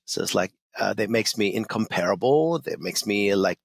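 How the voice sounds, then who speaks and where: A middle-aged man with a Korean accent, speaking in a somewhat low voice. He talks as an interview guest, eager and loose, stringing his thoughts together with plenty of fillers. The sound is dry and close. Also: expressive, moderate pace, creaky, dry recording. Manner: enthusiastic, loose, full of fillers like "you know" and "like". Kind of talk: casual interview chat.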